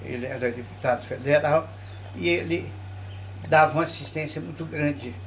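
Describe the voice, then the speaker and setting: An older man from Bahia, telling local history in an interview at an old mansion, unhurried and full of tag questions. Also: a little high, a bit bright, a little hiss or hum, low-quality recording. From Bahia, Brazil. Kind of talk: reminiscing interview.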